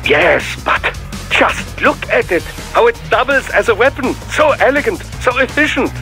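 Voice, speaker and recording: A fussy scientist in a video game, his voice high and bright. He lectures with precise, formal fascination and animated swoops in pitch. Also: moderate pace, faint music behind, exaggerated voice. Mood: fascinated, admiring. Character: eccentric, fussy male scientist, fascinated and analytical. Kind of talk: video game radio dialogue acting.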